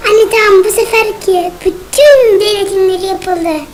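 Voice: very high-pitched, steady tone, moderate pace, over music. A performer voicing a whiny, demanding young son in a children's cartoon, blunt and full of complaints. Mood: argumentative.

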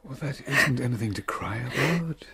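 An actor playing a charming, secretive man in a radio drama, speaking in a soft, husky, coaxing way. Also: mid-range voice, animated, normal speed, noisy background, lightly acted. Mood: gently coaxing.

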